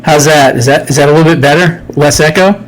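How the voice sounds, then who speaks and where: A man's voice: fairly high pitch, fast talker, rough recording. A man in his fifties co-hosting a podcast, chatting loosely with joking, sarcastic banter. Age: 50s. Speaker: podcast co-host. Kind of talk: casual chat. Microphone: computer mic in a home studio.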